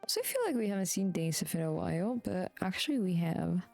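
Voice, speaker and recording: A woman live streaming a video game, reacting casually in a slightly flat, mellow voice. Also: lowish voice, fast, creaky, soft background music.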